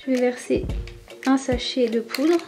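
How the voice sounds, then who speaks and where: A young woman in a casual voice-over, explaining each step of a craft toy in a relaxed way with small fillers. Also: sits high, unhurried, over music. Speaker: toy review YouTuber.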